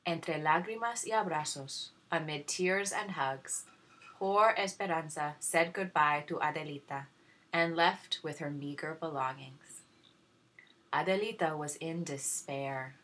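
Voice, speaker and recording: A woman reads a children's story aloud like a teacher to young pupils. Her voice is low and a little raspy, with a forceful bright edge. The narration is expressive. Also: measured pace, poor audio.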